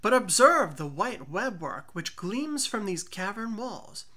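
A woman's voice: medium pitch, very animated, takes it slowly, bright, forceful tone, heavily acted. A woman voicing a scheming avenger who feigns friendly concern, courteous and coaxing, in a dramatic storybook reading. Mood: sly.

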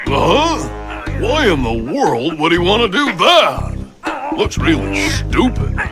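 A man's voice: sits high, huge pitch swings, slow, exaggerated voice. A man voicing a big, proud, blustering cartoon animal who drawls hammily and is easily startled into indignant yelps. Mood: baffled. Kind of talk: exaggerated English cartoon dub acting. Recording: music playing behind the voice.

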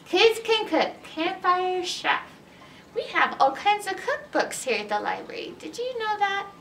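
A middle-aged woman hosting a read-aloud story time for young children, animated and teacherly in manner. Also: extremely high pitch, unhurried, vocal fry. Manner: animated, exaggerated, teacherly, asking questions. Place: indoors in a library, by bookshelves. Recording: roomy distance from mic, background noise.

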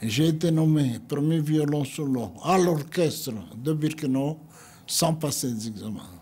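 Husky older man telling his memories. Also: a little high, mellow. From Greece. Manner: unhurried storytelling, acting out others' words in quotes. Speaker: interviewee. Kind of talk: recounting personal memories.

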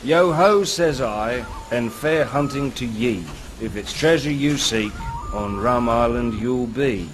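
An actor playing a roguish, wry pirate captain in a video game, with a sardonic, theatrical delivery. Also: male voice, mid-range voice, takes it slowly, hardly any pauses, soft background music, lightly acted. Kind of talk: scripted video game cutscene acting.

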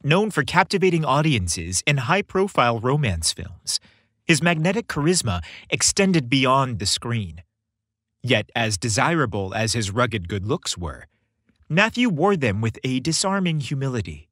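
A man's voice: medium pitch, very animated, measured pace, a bit bright, no echo. A man hosting a story podcast, reading scripted narration with a playful, theatrical delivery, close on the microphone.

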